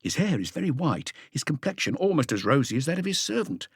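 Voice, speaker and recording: An earnest police inspector in his thirties, voiced by a male narrator, gives a careful, deferential report in a detective audiobook. His delivery is animated and faintly husky, in a dry recording. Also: a little high, fast talker, dry recording, full character voice. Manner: detailed, deferential reporting with asides. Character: thirty-five-year-old male police inspector, earnest, eager, slightly awkward.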